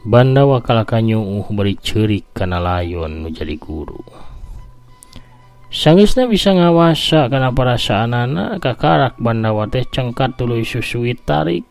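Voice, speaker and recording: A man with a Sundanese accent telling a folk tale as host, in a theatrical, drawn-out radio-story manner. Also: mid-range voice, animated, gravelly, darkish tone, faint music behind.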